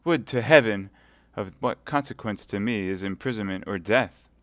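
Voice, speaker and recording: A man's voice: fairly low pitch, normal speed, fairly bright tone, dry recording, natural voice. A man reading aloud voices a scheming captive noblewoman who pleads and prays in a tearful, quavering tone. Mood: pleading.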